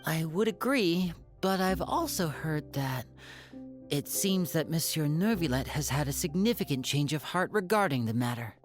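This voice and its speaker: A courteous, thoughtful young magician's assistant from a video game. Her voice is low for a woman yet animated in pitch, with calm, articulate phrasing. Faint music plays underneath. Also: moderate pace. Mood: calm, informative.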